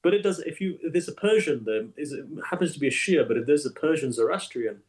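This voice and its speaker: Emphatic, animated male imam guest. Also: a little high, fast talker. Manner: animated, emphatic, repeats phrases. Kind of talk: conversational interview.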